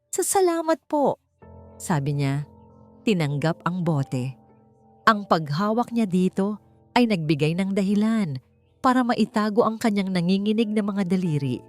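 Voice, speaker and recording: A woman with a Filipino accent narrating a dramatic story in a suspenseful, deliberate way with pauses. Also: medium pitch, a little mellow. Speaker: audiobook narrator. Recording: music bed under narration. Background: background music.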